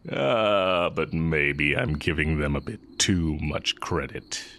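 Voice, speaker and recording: A man acting as a sly, coolly teasing heretic magic teacher in an intimate audio roleplay, musing and taunting with drawn-out pauses. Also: very deep voice, bright, forceful tone, a little hiss or hum, exaggerated voice. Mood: wry, musing.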